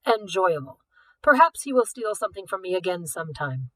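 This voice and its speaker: A woman voicing a suave, wealthy older male art collector in a storybook, speaking in a formal, measured, indulgent way. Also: lowish voice, sing-song, fairly bright tone, no room sound, low-quality recording. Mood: indulgent, persuasive.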